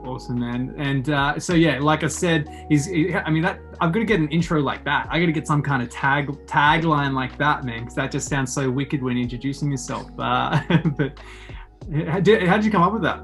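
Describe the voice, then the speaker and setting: Australian man, late twenties, slightly high voice, enthusiastic rambling interviewer, casual chat, music behind. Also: moderate pace, fairly bright tone. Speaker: podcast host interviewing a guest.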